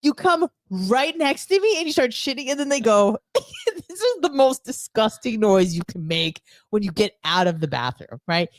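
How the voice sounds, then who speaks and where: A woman chatting casually and telling stories in a crude, profane, animated comic way. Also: fairly high pitch, normal speed, no room sound. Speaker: co-host.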